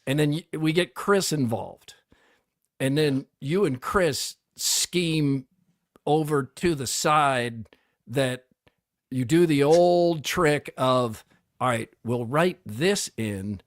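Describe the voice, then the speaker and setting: A man's voice: a little high, lively intonation, takes it slowly, no room sound, clean studio sound. A middle-aged man co-hosting a casual talk show, telling stories and acting out quotes. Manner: storytelling, acts out quotes. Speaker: co-host. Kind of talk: casual chat.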